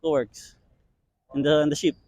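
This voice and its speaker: A man, giving hesitant, halting answers in a street interview. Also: fairly high pitch, little pitch movement, darkish tone, dry recording. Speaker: seafarer interviewee.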